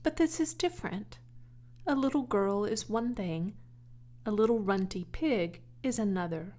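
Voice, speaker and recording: A woman voicing a patient farmer father in a storybook, gently firm and measured, with dry formality. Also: mid-range voice, lively intonation, close to an everyday voice. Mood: gentle, firm.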